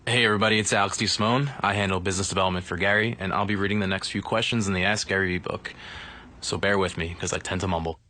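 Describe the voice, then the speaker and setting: A man's voice: low-pitched, fairly even intonation, quick pace, bright, forceful tone, no echo. A man reading listener questions aloud as a guest reader. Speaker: business development staffer, guest reader of questions.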